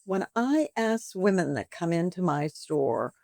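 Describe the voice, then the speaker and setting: A lively woman in her seventies talks in a somewhat low voice, chatting freely as an interview guest. The sound is dry and close, with no room around her. She slips into the voices of her customers as she tells her stories. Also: a little slow, no room sound. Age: 70s. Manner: chatty, animated, acts out customer dialogues. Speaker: boutique owner and guest. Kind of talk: conversational interview.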